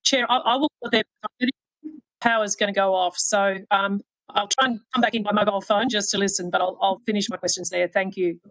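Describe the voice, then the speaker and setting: A woman's voice: medium pitch, fairly even intonation, a bit bright, dry recording, low-quality recording. Woman on a committee, talking in a hurry to finish her questions, with a dry, close sound. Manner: hurried, wrapping up. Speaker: committee member.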